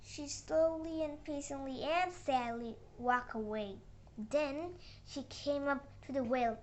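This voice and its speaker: A child narrating a moral fairy tale with halting, uneven phrasing and dramatic emphasis on the dialogue. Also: sits high. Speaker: storyteller.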